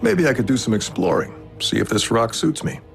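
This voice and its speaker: Cartoon robot warrior, male: roguish, battle-hardened, laconic and wry, mid-pitched voice over prominent music. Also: very animated, fairly quick, creaky. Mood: sincere, measured. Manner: wry, laconic tough-guy drawl with slangy nicknames. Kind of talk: animated series voice acting.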